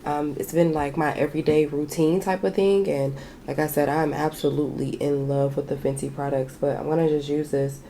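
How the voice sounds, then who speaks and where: A young woman with a raspy, hoarse voice, chatting candidly and swearing casually as she does her makeup at home. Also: fairly even intonation, brisk, few pauses, mellow, a little hiss or hum. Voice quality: raspy, deep voice. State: hoarse from sinus trouble. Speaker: beauty vlogger. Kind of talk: casual chat while doing makeup. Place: small bedroom with shelves and a lamp.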